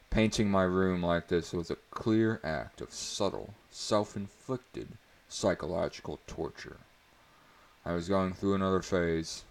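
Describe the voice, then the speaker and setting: A man performs a dramatic reading of a story in a very low voice with heavy creak. He delivers the first-person prose deliberately and emphatically, with a mock-serious, theatrical air. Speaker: reader performing a book chapter.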